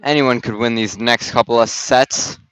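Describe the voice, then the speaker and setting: A young man doing amateur play-by-play for a volleyball game over a headset, his voice slightly raspy, casual and halting with restarts. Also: medium pitch, fairly even intonation, a bit bright, no room sound. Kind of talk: amateur sports commentary. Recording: dull, dry gym-stream audio.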